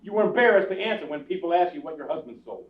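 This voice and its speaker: A man's voice: on the high side, lively intonation, very fast, distant mic, natural voice. An actor playing a henpecked, wisecracking husband in his forties, sardonic and exasperated, in old-time radio comedy style. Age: middle-aged. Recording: dull, echoey old radio recording, off-mic.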